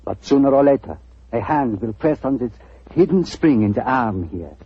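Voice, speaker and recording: A fussy old German antique dealer, stammering in broken English with a thick accent. His husky voice leaps up and down as he talks. It comes through a dull 1940s radio broadcast. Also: medium pitch, expressive, normal speed. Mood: proud. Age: older.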